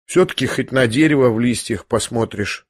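A man reading an audiobook, voicing a young man who is dying, speaking quietly and hoarsely in a weary, ironic calm. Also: mid-range voice, dry recording, studio quality, natural voice. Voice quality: hoarse, near whisper. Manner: slow, weary, faintly mocking calm.

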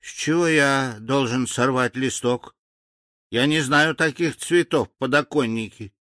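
A man's voice: medium pitch, a little slow, fairly bright tone, no room sound, studio quality, natural voice. A man voicing a meek, bewildered villager who speaks in a timid, plaintive way, in an audiobook fairy tale. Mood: baffled. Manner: timid, plaintive, literal-minded. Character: meek, bewildered male villager from a magic land.

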